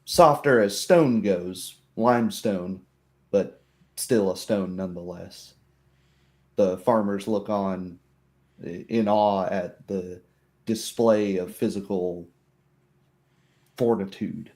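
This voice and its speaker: A man narrating a tabletop roleplay as game master, deliberate and descriptive, pausing between phrases. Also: deep voice, a little mellow.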